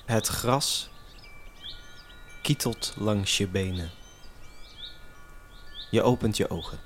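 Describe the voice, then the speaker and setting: A man narrating an audio drama in scripted, suspenseful short phrases with long pauses. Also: lowish voice, a little hiss or hum. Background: music.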